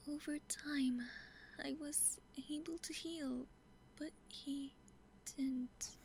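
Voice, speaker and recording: An actress playing a gentle grieving mother in a game, speaking in a breathy, halting near-whisper. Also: sits high, fairly even intonation, slight background noise, exaggerated voice, rough recording. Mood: sorrowful, subdued. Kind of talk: dramatic monologue in a game.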